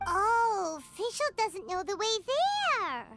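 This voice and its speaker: A woman voicing a tiny, cheeky, excitable fairy companion in a video game, squeaky and childlike, talking about herself in the third person. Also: drawn-out words, exaggerated voice. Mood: coaxing. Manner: refers to self by name, whiny, dramatic. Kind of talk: video game cutscene acting. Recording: loud game music behind.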